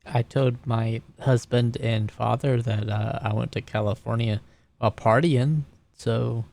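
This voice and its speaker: A man's voice: medium pitch, fairly even intonation, a little slow, creaky, a little mellow. A man talking casually and hesitantly as a player improvising in a tabletop roleplaying game.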